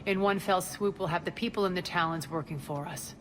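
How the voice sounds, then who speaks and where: A woman's voice: fairly low pitch, brisk, a little hiss or hum, natural voice. An actress playing a ruthless, proud female crime boss in a video game, commanding, cold and imperious. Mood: cold, scheming.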